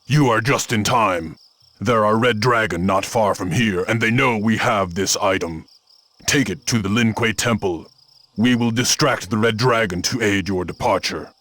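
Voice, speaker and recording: Male actor as clan sentry, lowish voice, stern, authoritative, formal, video game cutscene. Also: moderate pace, a bit bright, lightly acted. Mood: brisk, businesslike. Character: brisk, stern male clan warrior on watch.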